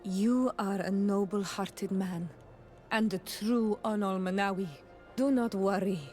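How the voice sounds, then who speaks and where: An actress playing an earnest, gentle young island tribeswoman in a video game, speaking in measured, formal phrases. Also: medium pitch, steady tone, faint music behind, close to an everyday voice. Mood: gentle, warm. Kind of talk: video game romance dialogue acting.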